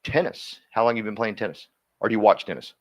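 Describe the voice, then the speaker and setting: A man coaching a live workshop audience, energetic and folksy, constantly quizzing the room and drawing answers out of people. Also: fairly low pitch, quick pace. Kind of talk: interactive seminar teaching.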